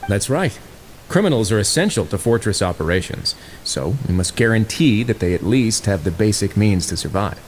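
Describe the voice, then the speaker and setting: An actor playing a composed, mysterious prison duke in a video game, speaking in a polite, deliberate, authoritative way over music. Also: male voice, fairly low pitch, expressive, slight background noise, natural voice. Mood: calm, explaining. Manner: measured, polite, smoothly deliberate. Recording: music behind the voice.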